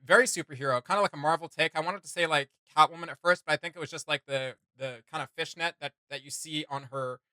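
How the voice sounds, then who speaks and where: A man's voice: on the high side, quick pace, hardly any pauses, sharp tone, no echo. A man hosting a reaction video with casual, unscripted commentary, rambling through his thoughts in slangy, enthusiastic fan talk.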